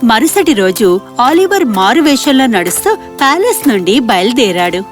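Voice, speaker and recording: Expressive, high-pitched woman storyteller. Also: moderate pace, soft background music. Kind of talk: scripted children's story narration.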